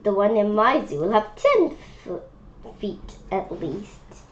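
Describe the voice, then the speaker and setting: A woman reading a children's picture book aloud in a halting way, breaking off for spontaneous asides and questions. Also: medium pitch, sing-song. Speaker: read-aloud presenter. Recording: dull lo-fi sound with faint noise.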